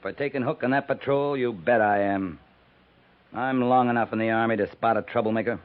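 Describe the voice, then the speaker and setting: A man's voice: mid-range voice, brisk, lightly acted. A man acting a tough, principled, guilt-ridden American cavalry captain, middle-aged and blunt, in 1950s radio drama style. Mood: bitter, grim. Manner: terse, commanding. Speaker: actor. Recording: muffled, noisy 1950s radio recording.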